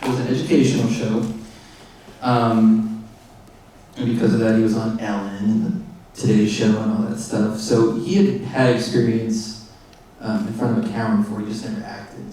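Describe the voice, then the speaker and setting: A man, the filmmaker, answering audience questions in halting speech full of fillers and restarts, recorded in a theater. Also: fairly low pitch, flat delivery, dark tone, slight background noise. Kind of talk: post-screening Q&A answers. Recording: echoey theater from far off.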